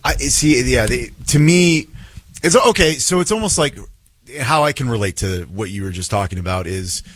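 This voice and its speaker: A man's voice: medium pitch, animated, moderate pace, no room sound. A man in his thirties from suburban Chicago with an American accent, chatting casually on sports radio. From suburbs of Chicago, United States. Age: 30s. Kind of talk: casual chat.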